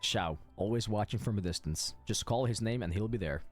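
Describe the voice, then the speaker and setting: A man's voice: fairly low pitch, a little fast, faint music behind. A man giving casual, reactive commentary as he plays through a game, often repeating short phrases. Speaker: game streamer.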